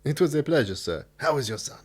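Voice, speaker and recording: An actor playing a gallant, confident gang leader who speaks formally and courteously in a radio drama. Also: male voice, mid-range voice, very animated, fast, slightly rough.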